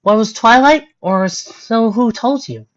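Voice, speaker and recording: A woman's voice: a little high, unhurried, pitched above the performer's own voice, close to an everyday voice. A woman voicing a shy, polite, hesitant young female pony in a story reading. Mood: grateful. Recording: dull lo-fi recording.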